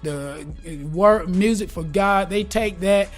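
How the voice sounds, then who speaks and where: A man in his forties, talking casually and earnestly in an interview, upbeat and a bit preachy, and quick to laugh. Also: sits high, soft background music. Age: 40s. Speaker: guest, gospel rap artist. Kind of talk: casual conversation.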